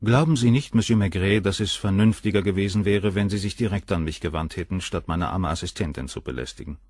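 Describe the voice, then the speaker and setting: An aloof professor in a radio crime drama. His very deep, husky voice carries cold condescension, recorded close and dry. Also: quick pace, darkish tone, dry recording. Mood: condescending.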